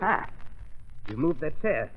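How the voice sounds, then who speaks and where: A tinny vintage radio recording carries a menacing male villain. His high voice swings widely in pitch, defiant and theatrical in the manner of 1940s radio drama. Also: sing-song, slow pace, exaggerated voice.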